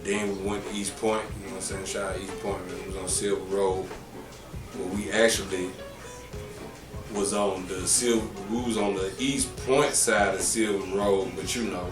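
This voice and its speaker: A man in his thirties with a deep voice and an Atlanta accent, a podcast guest talking in a rambling, joking, profane way. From Atlanta, Georgia. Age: 30s. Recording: echoey room, distant mic, music behind.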